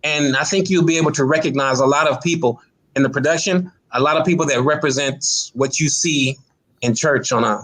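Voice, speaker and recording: Man with a slightly higher-pitched voice. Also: a little fast, a bit bright, rough recording. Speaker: stage play cast guest. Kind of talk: casual, thoughtful interview talk.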